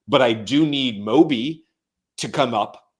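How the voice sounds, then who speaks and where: A man co-hosting a live talk show, going hoarse and talking in a relaxed, rambling way full of fillers. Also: mid-range voice, unhurried. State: hoarse, losing his voice. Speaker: co-host. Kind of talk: casual live show chat.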